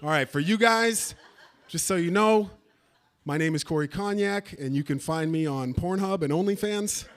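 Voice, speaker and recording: A man's voice: fairly high pitch, moderate pace. A man doing a crude stand-up set on a theater stage, teasing and bantering with the audience. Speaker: stand-up comedian. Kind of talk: stand-up set with crowd work.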